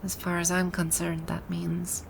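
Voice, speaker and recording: A woman's voice: on the low side, monotone, measured pace, gravelly, background noise. A woman playing a haughty, easily flustered female final-boss villain from a video game in an ASMR roleplay, with an imperious, indignant manner. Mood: guarded, wistful. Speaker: voice actor.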